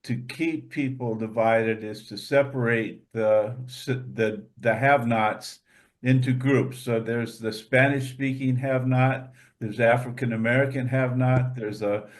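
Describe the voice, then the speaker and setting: A man with a mid-range voice speaks in an informal group discussion. He is measured and explanatory, laying out his points step by step. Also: mid-range voice, fairly even intonation. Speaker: church discussion participant.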